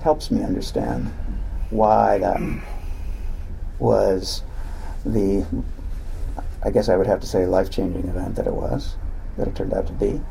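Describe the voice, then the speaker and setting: Slow man with a very gravelly voice. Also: on the low side, warm tone, slight background noise, poor audio. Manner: slow, halting, searching for words. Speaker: event host introducing an author. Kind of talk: personal introduction.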